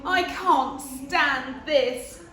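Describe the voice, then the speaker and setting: A woman voicing a tired, exasperated father bear from a storybook, groaning out drawn-out complaints. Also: high-pitched, sharp tone, reverberant, pitched above the performer's own voice, heavily acted.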